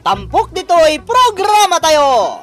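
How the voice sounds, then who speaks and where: A woman delivers a theatrical radio drama introduction over prominent music. Her high voice moves slowly and emphatically, with big swings in pitch. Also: big pitch swings. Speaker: programme announcer.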